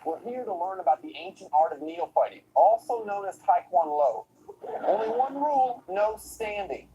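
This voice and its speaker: A man hosting a comedy show, narrating clips and interviewing a guest with deadpan, mocking sarcasm. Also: high-pitched, normal speed, slight background noise, rough recording.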